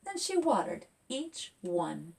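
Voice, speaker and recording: A woman is doing a storytime for children, speaking as the author of the book. Her pace is unhurried and her pitch moves up and down animatedly as she draws young listeners in. The voice sounds somewhat distant from the microphone. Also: gravelly, breathy edge. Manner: expressive, addressing young listeners with questions. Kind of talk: storytime talk and picture book read-aloud for children.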